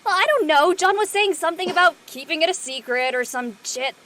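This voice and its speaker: Outspoken teen girl played by a woman, very high bright voice, slangy drama dialogue. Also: fairly quick. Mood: awkward, dismissive. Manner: slangy, expressive. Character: brash, outspoken teenage girl. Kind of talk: casual scripted drama dialogue.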